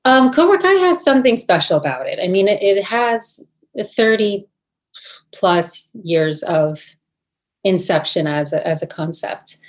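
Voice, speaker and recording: A middle-aged woman talks through her answers slowly and thoughtfully, pausing often and restarting her sentences. She is from New Jersey, and her voice sits at an ordinary pitch. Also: big pitch swings. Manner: thoughtful, hesitant, many fillers and restarts. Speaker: actress, guest. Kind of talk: interview answers.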